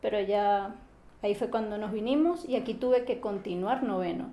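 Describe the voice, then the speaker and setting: A teenage woman from Maracaibo with a Venezuelan accent, speaking calmly and in a measured way as she is interviewed. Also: medium pitch, reverberant, a little hiss or hum.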